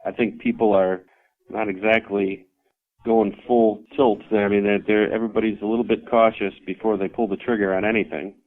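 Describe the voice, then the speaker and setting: A man chats as a podcast guest, hesitant and full of fillers. His voice sits somewhat low. The sound is muffled and lo-fi. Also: flat delivery, moderate pace. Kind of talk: casual chat. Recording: dull lo-fi sound.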